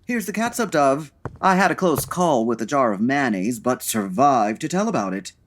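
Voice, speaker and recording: A droll, fussy sidekick from a comic detective radio play, played by a man. His voice is husky and swoops expressively, with a wry, aggrieved manner. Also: mid-range voice, big pitch swings, moderate pace. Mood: wry grumbling. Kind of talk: comedic 1940s radio detective acting.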